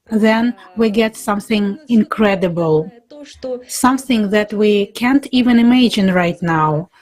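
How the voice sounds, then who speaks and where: A woman's voice: mid-range voice, darkish tone, poor audio. A woman speaking earnestly and idealistically in an unscripted panel discussion.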